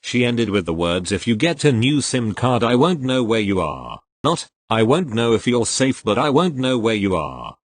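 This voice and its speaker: A man reading online posts aloud as the regular reader of the channel, in an expressive storytelling way. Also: medium pitch, moderate pace, few pauses, no room sound.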